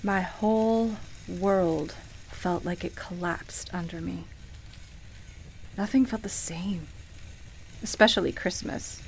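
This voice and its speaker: A woman's voice: lowish voice, takes it slowly, creaky, pauses often, slight background noise, low-quality recording. An actress in a radio play playing a sincere, wistful woman who reminisces hesitantly about her life. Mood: sad, guilty. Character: wistful woman grieving her beloved grandmother at Christmas. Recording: music playing behind the voice. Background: music.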